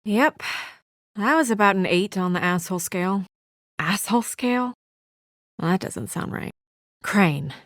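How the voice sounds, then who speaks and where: A young female office assistant from a noir game, brisk and guarded. Her voice sits at a medium pitch with crisp, no-nonsense, understated delivery. The recording is close and dry, free of room sound. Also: expressive, fairly bright tone, no echo. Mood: dry, sardonic. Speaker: video game voice actor. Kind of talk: naturalistic video game drama acting.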